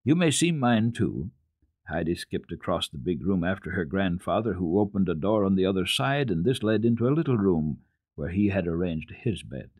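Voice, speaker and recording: Male children's storyteller, slightly deep voice, very varied intonation, expressive dramatic reading, deliberate phrasing. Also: no room sound, studio quality.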